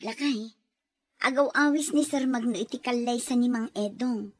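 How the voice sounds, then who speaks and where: An actress playing an affectionate, encouraging wife in an Ilocano radio drama, with a Filipino accent. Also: female voice, a little high, normal speed, dry recording, natural voice. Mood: affectionate, teasing.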